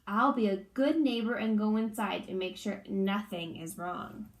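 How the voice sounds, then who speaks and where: A woman voicing a curious, prim little girl from a fairy tale, with exclaiming, drawn-out reactions. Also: medium pitch, slight background noise, close to an everyday voice.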